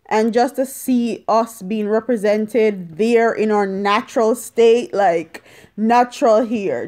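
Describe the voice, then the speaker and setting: A young adult woman, talking emphatically and deliberately in a casual opinion vlog, repeating phrases for stress. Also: mid-range voice, a little mellow. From Jamaica. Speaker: vlogger. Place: small indoor room at home. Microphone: phone at arm's length.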